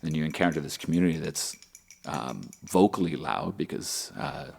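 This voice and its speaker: Low, gravelly man. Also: lively intonation, normal speed, a little mellow, a little hiss or hum. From Canada. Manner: thoughtful, hesitant with many fillers. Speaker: podcast guest. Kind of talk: casual conversation.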